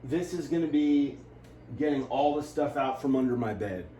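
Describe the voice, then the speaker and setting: A man's voice: medium pitch, normal speed, warm tone, a little hiss or hum. A man at home giving offhand commands to a smart speaker in a casual home video. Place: room at home. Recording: roomy echo, far from the mic, faint music. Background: faint music.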